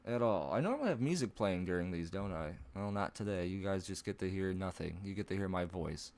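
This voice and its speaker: A man with a fairly low-pitched voice that cracks into strange higher notes. He talks loosely and off the cuff on his live stream at home, rambling, cursing and laughing at his own jokes. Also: animated, fairly quick, darkish tone. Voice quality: low voice with odd high breaks. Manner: rambling, swearing, laughs at himself. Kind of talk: casual live-stream chat.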